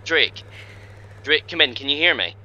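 A man acting a gruff, impatient crew leader with a husky voice in an amateur audio drama. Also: a little high, lively intonation, moderate pace, pushed and bright, lo-fi sound, slight background noise. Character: gruff, impatient commanding crewman.

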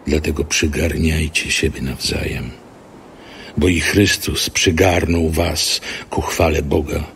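A man's voice: a bass voice, steady tone, takes it slowly, creaky, a bit bright, slight background noise. A man giving a solemn scripted reading of a scriptural letter. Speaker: scripture reader.

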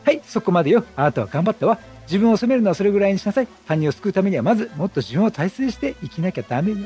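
Man voicing flamboyant game entertainer, motherly, feminine phrasing, high animated voice. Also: racing, husky, soft background music, exaggerated voice. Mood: reassuring. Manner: feminine wording, reassuring, bossy.

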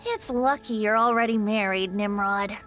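A woman's voice: medium pitch, moderate pace, fairly bright tone, close to an everyday voice. A woman voicing a youthful, teasing male bard in a video game, playful and breezy. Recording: music over the dialogue.

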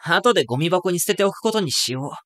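A man playing a wry, self-mocking high school boy who narrates an anime audio drama with dry asides. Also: lively intonation, quick pace, a bit bright, no room sound. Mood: uneasy.

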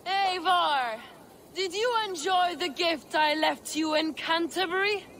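A woman voicing a cold, taunting villain commander in a video game, measured, haughty and menacing. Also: very high-pitched, fairly bright tone, a little hiss or hum, full character voice. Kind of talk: dramatic video game acting.

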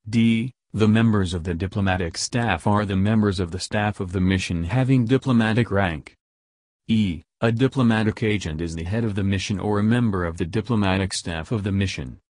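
A man reading a legal treaty aloud in a steady, measured way, enunciating each clause. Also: deep voice, no room sound, clean studio sound. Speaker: reader of a legal text. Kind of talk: plain read-aloud legal text.

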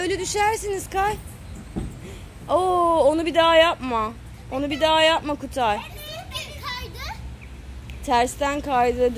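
A woman with a high voice films a casual family vlog on her phone. She speaks slowly, with a sing-song lilt when calling out to children. Clear outdoor noise sits behind her at the playground. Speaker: parent filming a family vlog. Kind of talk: casual chat to camera and children. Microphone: handheld phone camera. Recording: noisy outdoor phone recording.

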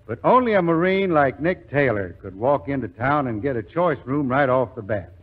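A man's voice: mid-range voice, animated, moderate pace, breathy edge. A man hosting and narrating in a folksy 1940s radio style. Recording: dull 1940s radio recording.

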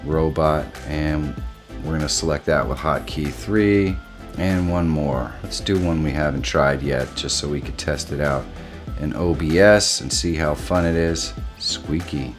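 A middle-aged man hosting a casual step-by-step software tutorial. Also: a bass voice, a little slow, faint music behind. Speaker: tutorial host. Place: small home room, indoors.

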